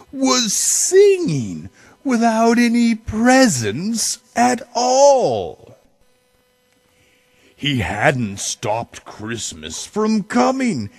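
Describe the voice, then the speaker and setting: Slow, very animated man reading a storybook. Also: sits high, dry recording. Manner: theatrical, drawn-out phrases with big swells. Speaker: storybook reader. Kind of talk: dramatic children's storybook reading.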